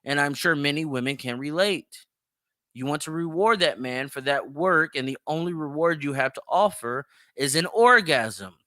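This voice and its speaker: A man's voice: fairly high pitch, moderate pace, bright, forceful tone, no room sound, clean studio sound. A man hosting an opinionated solo commentary show, speaking bluntly and emphatically, swearing freely and repeating phrases for stress. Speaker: podcast host.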